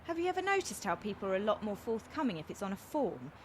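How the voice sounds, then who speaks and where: A woman's voice: medium pitch, big pitch swings, quick pace, a little hiss or hum, natural voice. A woman playing a frank, kind-hearted young woman in a radio comedy, chatty and earnest as she explains herself. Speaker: actor in a radio play. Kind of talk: naturalistic radio comedy acting.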